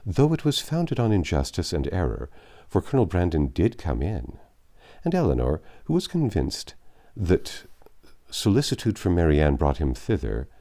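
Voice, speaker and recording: Deep-voiced man reading a classic novel aloud. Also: warm tone. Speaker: audiobook narrator. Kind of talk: expressive literary reading aloud.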